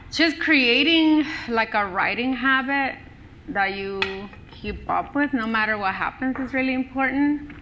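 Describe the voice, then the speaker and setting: A woman author with a Dominican accent, Spanish her first language, answering audience questions at a live book event, chatty and quick to laugh. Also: a little high, sharp tone, highs cut off, slight background noise. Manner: chatty, laughs often, lots of "like" and "you know".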